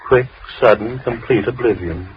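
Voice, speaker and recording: A man playing a falsely gentle, mad killer in 1930s radio horror, unctuous, deliberate and mock-tender, on an old radio recording. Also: mid-range voice, lo-fi sound, slight background noise, close to an everyday voice. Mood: gently menacing. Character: soft-spoken mad male killer, falsely gentle, menacingly polite.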